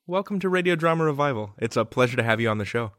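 A man's voice: mid-range voice, expressive, rapid-fire, a bit bright, no echo, studio quality. A man hosting an audio drama podcast, introducing the segment and interviewing a guest.

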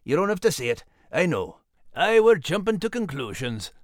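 A man's voice: a little high, very animated, normal speed, no room sound, studio quality. A man voicing a cheerful, plain-spoken male dog in an animal story podcast. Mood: defensive, good-natured.

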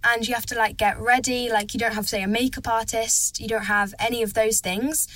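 Bright, chatty teenage girl. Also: on the high side, fairly even intonation, fairly quick, dry recording. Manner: chatty, eager, says "like" a lot. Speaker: child actor guest. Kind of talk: casual interview chat.

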